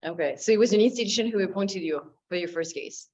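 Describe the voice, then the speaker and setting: A woman's voice: medium pitch, fast, darkish tone. A woman hosting an interview, putting questions to a guest. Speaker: interviewer, host. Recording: dull lo-fi sound.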